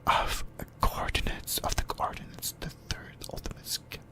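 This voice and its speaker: An ASMR host of unclear sex, whispering a scripted explainer in a breathy, halting way, often repeating and correcting phrases. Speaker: host of an anime ASMR channel.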